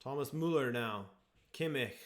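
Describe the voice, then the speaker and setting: A man's voice: medium pitch, moderate pace. A man hosting a live football watchalong stream, chatting casually with viewers and reacting to the match. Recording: echoey room.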